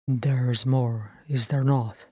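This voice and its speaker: A sulky, proud male dwarf from a fantasy audiobook, acted out by a woman. The voice is low and rough, with a dark tone. The wording is curt and archaic. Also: steady tone, takes it slowly, no echo, studio quality, exaggerated voice. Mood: gruff, sullen. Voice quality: rough, gruff. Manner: curt, formal, archaic speech.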